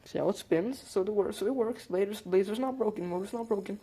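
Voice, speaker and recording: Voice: medium pitch, quick pace, mellow, slightly rough. A hobbyist presenter giving a casual hands-on demonstration, explaining things informally step by step.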